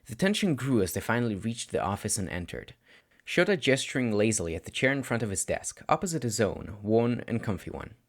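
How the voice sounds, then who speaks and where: A man reading fan fiction aloud as an amateur audiobook narrator, in an expressive scripted style. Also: lowish voice, brisk.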